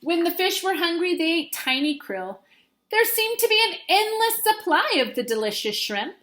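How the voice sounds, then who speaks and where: A woman's voice: extremely high pitch, very animated, moderate pace, fairly bright tone. A woman teacher reading a children's storybook aloud, expressively and with dramatic emphasis.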